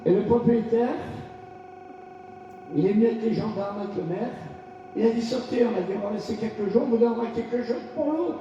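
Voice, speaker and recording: A male pastor from France delivering a fiery revival sermon, shouting in bursts and calling for responses from the congregation. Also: very high-pitched, a little fast, slight background noise. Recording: echoey hall, distant lo-fi mic.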